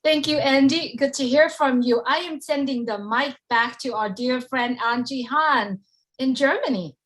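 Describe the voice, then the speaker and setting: A woman hosting a live online event, giving quick upbeat handoffs between speakers. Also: high voice, fairly bright tone, low-quality recording. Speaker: event host.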